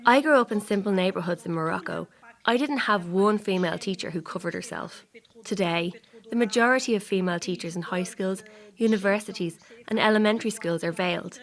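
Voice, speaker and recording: A woman voicing an interviewee's words as a translation voice-over, in an earnest, steady read. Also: medium pitch, a little fast.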